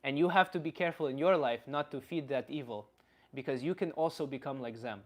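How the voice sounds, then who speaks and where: A man playing a blunt Slavic uncle, philosophizing in halting, casual livestream talk and answering chat comments. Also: mid-range voice, close to an everyday voice. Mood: earnest, reflective. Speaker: livestream host.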